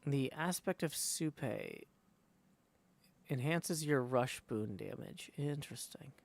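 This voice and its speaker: A man giving casual livestream commentary on a game. His voice has noticeable creak and moves freely in pitch as he reads out menus and thinks aloud. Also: animated, slow pace. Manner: thinks aloud while reading out on-screen text. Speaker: streamer.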